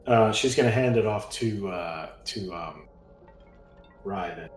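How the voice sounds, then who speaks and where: A man's voice: on the low side, takes it slowly. A man playing a tabletop roleplaying game, chatting casually in a breezy, playful way. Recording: roomy echo, distant from mic. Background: soft game soundtrack music.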